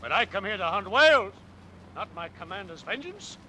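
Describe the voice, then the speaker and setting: An actor playing a principled, dutiful first mate of a whaling ship in a classic radio drama, with earnest, formal old-fashioned speech. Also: male voice, high voice, very animated, normal speed, pushed and bright, heavily acted. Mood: indignant. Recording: faint noise, old radio play recording.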